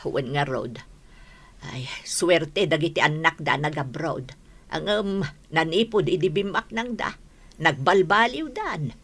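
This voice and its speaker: A Filipino woman playing a gossipy, disapproving older village mother in a radio drama, with a husky voice. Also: low-pitched, expressive, measured pace, fairly bright tone, exaggerated voice.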